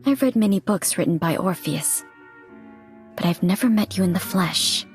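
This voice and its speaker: A woman's voice: lowish voice, expressive, moderate pace, natural voice. A woman playing a polite, observant female reporter in video game cutscene dialogue. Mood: observant, musing. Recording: music behind the voice.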